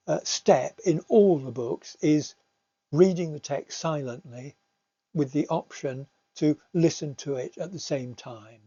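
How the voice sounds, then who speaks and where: A man's voice: on the high side, warm tone, dull audio. An older man, an English teacher, giving an informal recorded slide talk in a hesitant manner, often repeating words as he explains.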